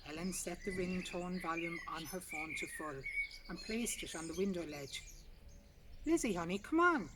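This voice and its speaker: A woman's voice: on the low side, fairly even intonation, moderate pace, very noisy. A woman reading a literary short story aloud outdoors in a garden. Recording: distant mic, loud outdoor birdsong. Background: birdsong.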